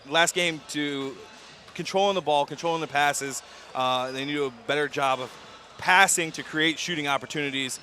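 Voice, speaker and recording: A man's voice: fairly high pitch, bright, forceful tone, slight background noise. A man commentating a college pregame show in a gymnasium, hesitant, with lots of fillers and restarts. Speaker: sports commentator.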